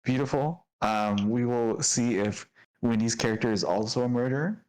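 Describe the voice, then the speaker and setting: A man's voice: mid-range voice, moderate pace, a little mellow, clear, resonant tone, poor audio. A man acting as dungeon master, introducing the players and narrating the scene with upbeat energy. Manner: upbeat, laughing, descriptive narration.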